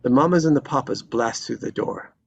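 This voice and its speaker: A man reading screenplay stage directions as dramatic narration, in terse, deliberate phrases. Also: medium pitch, gravelly, muffled recording, a little hiss or hum.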